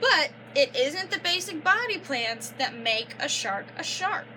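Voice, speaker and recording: A young woman reading a children's picture book aloud like a teacher, pausing to explain and prompt with questions. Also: sits high, sing-song, pushed and bright, slight background noise, poor audio. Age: young adult. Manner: instructive, prompting listeners with questions and affirmations.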